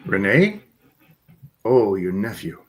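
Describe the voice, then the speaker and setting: Ship's counsellor, sci-fi radio play, played by a man. Gentle, probing, very low husky voice. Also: big pitch swings, slow, heavily acted. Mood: attentive. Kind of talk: live script read, sci-fi radio theatre.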